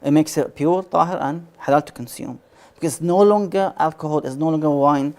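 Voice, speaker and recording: Mellow-voiced man, patient sheikh. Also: on the high side. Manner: patient, explaining slowly with short phrases and restarts. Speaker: sheikh answering religious questions. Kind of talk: live call-in Q&A.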